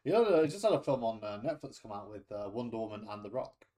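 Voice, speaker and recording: A man's voice: fairly low pitch, sing-song, quick pace, warm tone. A man in casual podcast chat, laid-back and dry with wry asides.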